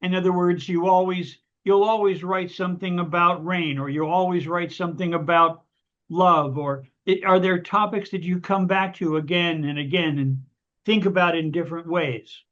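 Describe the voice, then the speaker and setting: A man's voice: high-pitched, steady tone, normal speed, fairly bright tone. A man hosting an interview, asking long friendly questions that ramble and restart. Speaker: interview host. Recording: dull lo-fi audio.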